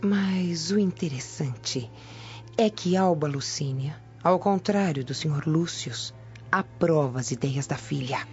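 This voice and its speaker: A sly gossipy roman maid, confiding, low voice, dull radio audio. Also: female voice, slow pace, a little mellow. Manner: confidential, insinuating. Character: gossiping Roman servant woman, confidential informer. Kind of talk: radio drama acting. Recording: dull lo-fi radio, faint music.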